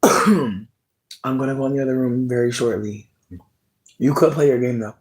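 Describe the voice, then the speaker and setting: A person livestreaming casual chat with viewers, sassy and expressive with lots of swearing, talking while snacking. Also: medium pitch, moderate pace, rough recording.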